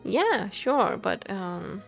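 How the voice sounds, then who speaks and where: Slow-paced, playful non-native woman streamer. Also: medium pitch, gravelly, faint music behind. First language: not English. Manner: expressive, playful. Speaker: game streamer. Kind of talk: casual live game commentary, chatting with viewers.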